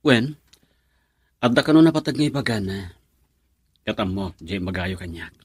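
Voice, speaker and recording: A man's voice: on the low side, expressive, moderate pace, husky, dry recording, clean studio sound. An actor playing a lovesick young man, a devoted son, in a melodramatic radio drama. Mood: hurried.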